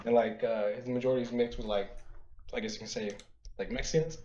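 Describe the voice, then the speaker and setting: Relaxed man on a muffled call line. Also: mid-range voice. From Texas. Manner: relaxed, chill, slow-talking. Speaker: caller. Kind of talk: casual phone-in chat.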